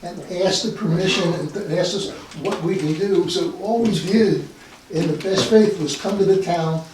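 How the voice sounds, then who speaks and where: A man with a somewhat high voice makes his point earnestly and insistently, often repeating himself. The room is heavily echoey and he sounds distant from the microphone. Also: normal speed, a little mellow. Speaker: resident and property owner. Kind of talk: unscripted meeting discussion. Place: indoor town board meeting room. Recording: very echoey room, distant mic, faint noise.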